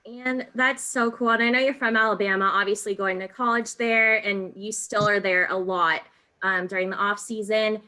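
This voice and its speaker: A woman with a clearly bright, forceful voice at an average pitch. She hosts in a casual interview style, phrasing her questions loosely and chattily. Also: steady tone, moderate pace. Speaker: podcast host.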